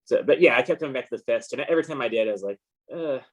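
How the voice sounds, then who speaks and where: A man's voice: medium pitch, expressive, rapid-fire, muffled recording. A man chatting casually as an interview guest, in a rambling, understated, laid-back way. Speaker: interview guest, comic book artist. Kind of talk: casual chat.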